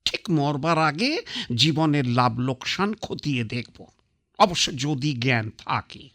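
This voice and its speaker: A man's voice: on the high side, big pitch swings, takes it slowly, a bit bright, full character voice. An actor playing a blunt, stubborn old man on his deathbed who mocks his doctor and priest in a sarcastic, commanding way. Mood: bitter. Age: older. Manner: sarcastic, commanding, brushes others off. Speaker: bedridden patriarch. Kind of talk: radio drama acting.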